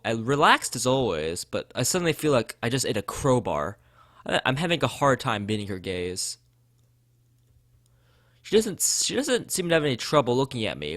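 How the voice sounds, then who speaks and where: A man's voice: medium pitch, fairly bright tone, dry recording. A man streaming a visual novel, chatting casually and reading the text aloud, sometimes stumbling over and repeating phrases. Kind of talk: casual game commentary and read-aloud of on-screen text. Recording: music playing behind the voice. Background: game music.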